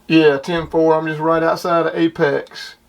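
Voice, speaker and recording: A man's voice: on the high side, flat delivery, measured pace. A man talking casually like a CB radio hobbyist, in plain-spoken radio lingo. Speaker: CB radio operator and video host. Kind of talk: casual CB radio chat and commentary. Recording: clearly noisy, with radio hiss and clicks. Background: radio noise and clicks.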